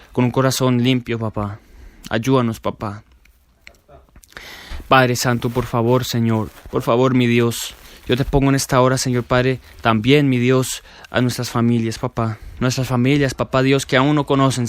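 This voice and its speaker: Fervent praying man, dry close sound. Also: no room sound. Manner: repeats "Señor Padre" and "papá" as refrains, dropping soft and low on them. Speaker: prayer leader. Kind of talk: fervent spoken prayer.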